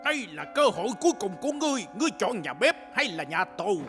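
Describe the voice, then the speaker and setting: A man's voice: high voice, big pitch swings, fast talker, fairly bright tone, soft background music. An actor playing a gluttonous, hot-tempered, bossy king in a Vietnamese cartoon dub, pompous and exclaiming. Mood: commanding.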